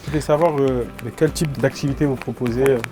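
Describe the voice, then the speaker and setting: Male interviewer, medium pitch, casual documentary chat, slightly far from the mic. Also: fairly even intonation, quick pace, over music.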